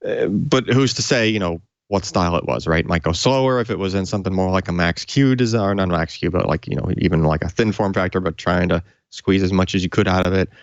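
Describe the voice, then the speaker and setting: A man with a low voice talks through tech matters as a podcast co-host. He explains in a loose, rambling fashion, and the recording is dry and a little dull. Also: fast talker, few pauses, no echo. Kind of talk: casual tech discussion.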